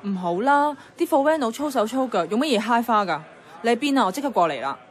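A woman's voice: on the high side, big pitch swings, quick pace, faint music behind, a faint crowd. An actress playing a weary, strong-willed office woman in a Hong Kong Cantonese radio drama, mixing in English words. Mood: anxious, insistent. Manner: code-switches into English words. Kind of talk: radio drama dialogue and inner-monologue narration.

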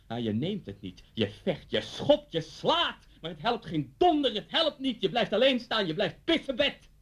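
A man's voice: high-pitched, very animated, unhurried, fairly bright tone, breathy edge, full character voice. An actor playing a vengeful, deranged middle-aged man in a radio play, mocking and theatrical as he threatens someone. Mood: bitter, agitated. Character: vengeful, deranged man taunting his old schoolteacher at gunpoint.

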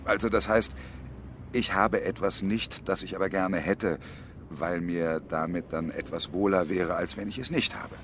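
An actor in a radio drama playing a bitter, sardonic unemployed engineer around fifty, speaking in a formal, wordy way. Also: male voice, low-pitched, fairly even intonation, a little slow, fairly bright tone, a little hiss or hum. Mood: subdued, evasive. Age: 50s.

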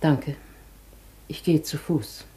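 A woman playing a dignified, wistful hotel guest in a German radio drama, with a soft, often breathy voice and a formal, measured manner. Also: low voice, steady tone. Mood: resolute, calm. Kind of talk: German radio play acting.

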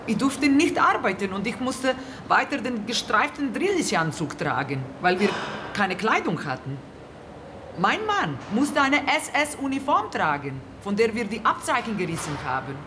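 A desperate woman survivor in a radio drama, insistent and aggrieved. Her pitch swings in a lively way, over clearly audible background noise. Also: unhurried. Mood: bitter. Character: desperate, impatient female camp survivor waiting in a queue. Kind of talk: German radio play acting.

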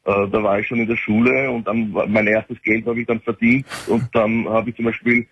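A man's voice: lowish voice, level tone, brisk, husky. A man in his forties with an Austrian accent, calling in to a radio show and rambling with many fillers and restarts. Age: 40s. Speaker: caller. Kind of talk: phone-in call. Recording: dull lo-fi phone line.

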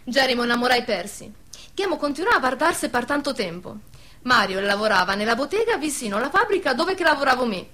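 A bright, forceful, fairly high voice cuts through. She is a proud young village widow in a radio play, frank and bossy. Her Venetian speech is brisk, matter-of-fact and full of teasing. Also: big pitch swings, full character voice. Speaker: actress. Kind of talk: radio drama dialogue in dialect.

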